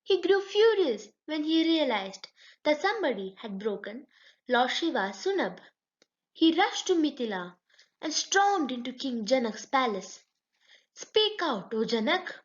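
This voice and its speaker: A woman tells a children's story in an expressive read-along style. Her voice sits fairly high and moves with lively swings in pitch. The recording is a little dull and lo-fi. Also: measured pace.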